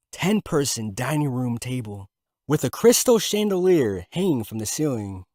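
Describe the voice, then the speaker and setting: A man's voice: medium pitch, a little mellow, no echo, studio quality. A man narrating a scripted fictional story.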